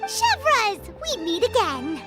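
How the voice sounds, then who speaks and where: A woman voicing a small, childlike, perky and inquisitive fairy companion in a video game, with a squeaky voice. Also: huge pitch swings, slow pace, over loud music, exaggerated voice. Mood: cheerful.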